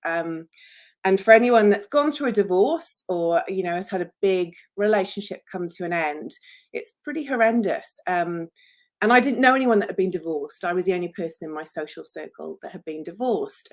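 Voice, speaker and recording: A woman in her forties with an English accent, an author chatting casually on a solo livestream, self-deprecating and jokey, with frequent ums. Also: fairly low pitch. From England. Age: 40s.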